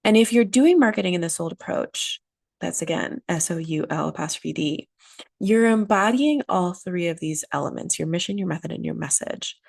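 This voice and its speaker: Woman, podcast host, nasal from a cold, low gravelly voice, dry close sound, earnest and emphatic. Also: animated, normal speed, no room sound. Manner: earnest, emphatic, repeats key phrases. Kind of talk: solo motivational podcast talk.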